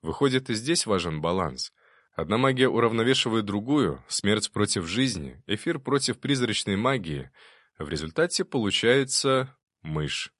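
A man's voice: on the low side, big pitch swings, moderate pace, a bit bright, dry recording, clean studio sound. A man voicing a wry, commanding male baron who questions his men sternly in an audiobook.

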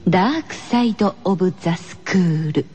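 A woman's voice: on the low side, animated, normal speed, a little mellow. A woman hosting a radio show, moving between scripted introductions and friendly chat.

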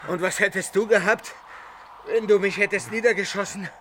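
An actor playing a defiant, reproachful wayfarer in a fight, heated and accusing, in a radio drama. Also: male voice, sits high, steady tone, moderate pace, pushed and bright, slight background noise.

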